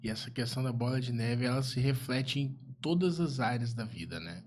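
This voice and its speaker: A man's voice: medium pitch, brisk, a little mellow. A man hosting a podcast interview, agreeable and affirming, ending points with tag questions. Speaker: podcast host.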